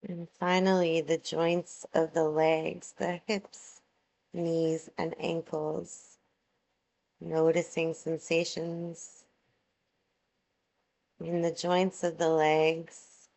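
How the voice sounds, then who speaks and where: A woman guiding a yoga relaxation meditation, giving soothing, measured instructions with long pauses between phrases. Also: low voice, little pitch movement, gravelly, a little mellow, low-quality recording.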